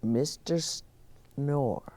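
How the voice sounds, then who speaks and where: A woman's voice: very deep voice, extremely slow, dark tone. A woman hosting a children's story time, reading aloud expressively with chatty asides, explanations and questions for young listeners.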